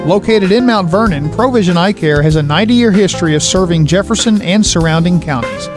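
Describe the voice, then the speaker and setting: A man with a notably high-pitched voice reads a scripted radio sponsorship message. Plucked guitar music plays clearly underneath his announcement. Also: expressive, fairly quick.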